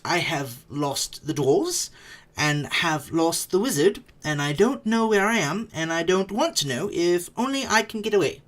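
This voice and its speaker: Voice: medium pitch, very animated, measured pace, fairly bright tone, pitched above the performer's own voice. A narrator voicing a small, startled hobbit, anxious but polite and plucky, in a storybook reading. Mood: alarmed, flustered. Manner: agitated, emphatic, blurting. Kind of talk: storybook character voice.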